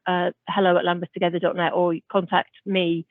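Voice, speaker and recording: A woman's voice: on the low side, flat delivery, a little fast, no room sound. Woman on a thin, phone-like call line. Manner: stammering restarts, then helpful. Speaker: health service staff member. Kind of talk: answering a question in an online meeting. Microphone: video call.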